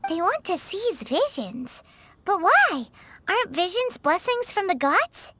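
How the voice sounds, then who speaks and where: Squeaky, chirpy little fairy companion. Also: female voice, very animated, unhurried, bright, forceful tone, soft background music, exaggerated voice. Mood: surprised. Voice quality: squeaky, childlike. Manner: exclaiming, questioning. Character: small childlike fairy companion, chirpy, inquisitive, speaks in third person. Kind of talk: animated video game acting.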